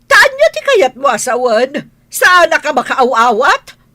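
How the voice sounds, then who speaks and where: A woman playing a haughty, easily outraged wealthy wife in an audio drama, with an Ilocano Filipino accent and sharp, indignant questioning. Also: high-pitched, big pitch swings, a little slow, no echo, heavily acted. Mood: haughty, annoyed.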